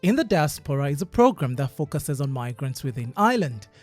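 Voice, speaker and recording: A man hosting an interview, speaking with lively warmth and backing his guests with constant affirming murmurs. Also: a little high, a little fast, faint music behind. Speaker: host.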